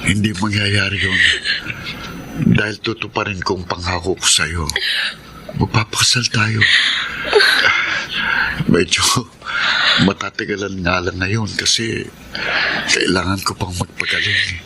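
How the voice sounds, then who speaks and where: Injured devoted young lover, low creaky voice, halting reassurance, radio drama, noisy background. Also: male voice, steady tone, pushed and bright, full character voice. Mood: weak, reassuring. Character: weakened, devoted young male fiancé recovering from injury.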